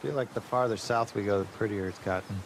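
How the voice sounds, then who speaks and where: A man's voice: lowish voice, steady tone, quick pace, background noise, close to an everyday voice. An actor playing a restless, guarded young man with a terse, understated way of talking in a video game. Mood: guarded, wry. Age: young adult. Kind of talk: naturalistic video game acting.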